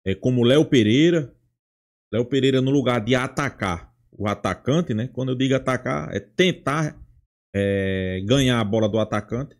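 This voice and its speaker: A man with a Brazilian accent giving casual football fan commentary in a halting way, often adding tag questions. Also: medium pitch. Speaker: football channel host.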